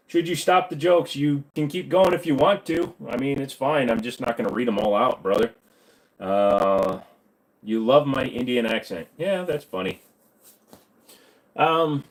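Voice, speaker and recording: A man's voice: fairly low pitch, poor audio. A man hosting a casual livestream, answering viewer questions with crude, rambling jokes.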